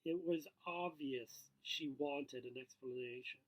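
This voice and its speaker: A man's voice: mid-range voice, unhurried, poor audio. Man reading a story aloud, expressively. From Canada. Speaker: author reading own novel aloud. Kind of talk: expressive narrative book reading.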